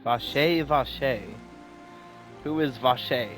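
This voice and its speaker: A man's voice: medium pitch, takes it slowly, fairly bright tone. Man giving casual, sweary game commentary. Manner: informal, sweary, repeats phrases. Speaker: game streamer. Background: faint game music.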